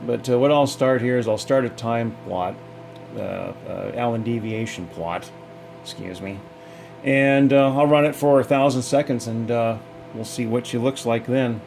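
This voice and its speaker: A man's voice: medium pitch, measured pace, vocal fry, a little mellow, faint music behind. A man giving a casual, off-the-cuff technical demonstration in a folksy, hesitant way, thinking aloud as he goes. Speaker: hobbyist demonstrator.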